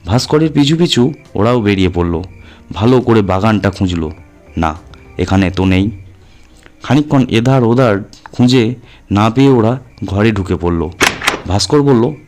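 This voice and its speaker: A man's voice: on the low side, lively intonation, measured pace, pauses often, darkish tone. A man reading a horror audio story as a narrator, in a dramatic scripted style. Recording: music under the voice. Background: background music.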